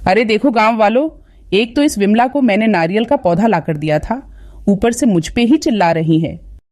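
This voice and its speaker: A woman's voice: medium pitch, animated, normal speed, darkish tone, no room sound, studio quality, natural voice. A woman playing a greedy, quarrelsome village wife in a cartoon story, with a dramatic scolding delivery. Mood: angry, scheming.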